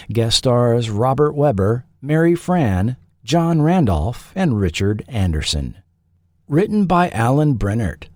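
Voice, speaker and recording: Male documentary host, mid-pitched, measured and articulate scripted read, very dry sound. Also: a little mellow, dry recording. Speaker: podcast host and narrator.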